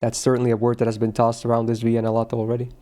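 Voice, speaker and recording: A man's voice: medium pitch, completely flat delivery, rapid-fire, dark tone. A man from Finland with a slight Finnish accent, chatting casually with his audience while streaming a game. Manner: chatty, answers chat, self-aware asides.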